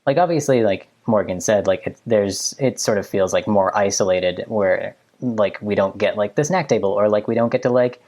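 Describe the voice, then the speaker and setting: A high-school student in his late teens, a production assistant, chatting casually and rambling with lots of filler words. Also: normal speed, few pauses, darkish tone. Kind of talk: casual chat.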